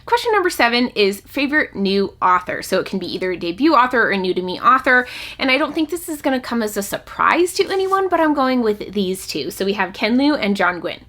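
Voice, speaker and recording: A woman's voice: fairly high pitch, sing-song, brisk, sharp tone. A woman talking casually and enthusiastically to her audience, in the chatty style of an online reviewer. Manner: enthusiastic, gushing about favourites. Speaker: book reviewer and channel host. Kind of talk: casual chatty book talk to camera.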